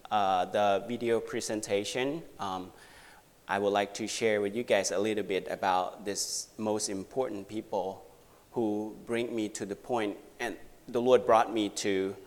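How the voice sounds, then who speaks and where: Slow-speaking Thai man preaching. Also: lowish voice. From Thailand. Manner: halting, earnest, many pauses and fillers. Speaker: visiting missionary preacher. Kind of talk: testimony in a church service. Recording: reverberant hall.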